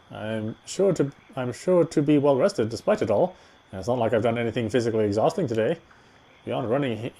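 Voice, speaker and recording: A man's voice: mid-range voice, darkish tone. A man reading a horror visual novel aloud as a dramatic first-person narrator.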